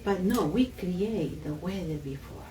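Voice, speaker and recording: A woman's voice: lowish voice, animated, a little slow, recorded from a distance, noisy background. A woman from Peru, guest in a casual conversation, speaking earnest, slightly broken English.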